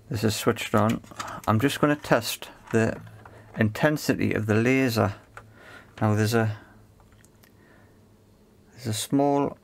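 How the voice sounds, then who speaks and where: Low-voiced man muttering through a repair. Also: vocal fry. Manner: tentative, talks to himself while working. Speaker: repair video presenter. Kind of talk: hands-on repair commentary, thinking aloud.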